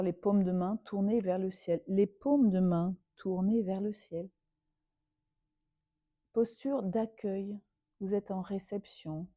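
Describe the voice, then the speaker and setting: A woman yoga teacher leading a guided relaxation meditation in a soothing, unhurried manner, leaving long pauses. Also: mid-range voice, mellow, dry recording.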